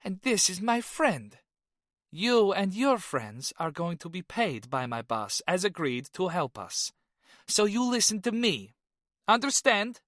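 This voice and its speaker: A cold, ruthless woman operative who gives calm, clipped orders, as a male narrator puts her on. The pitch is lifted well above the narrator's own, with a lively rise and fall. The recording is dry and close. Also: unhurried, dry recording, heavily acted. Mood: commanding. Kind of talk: audiobook character voice.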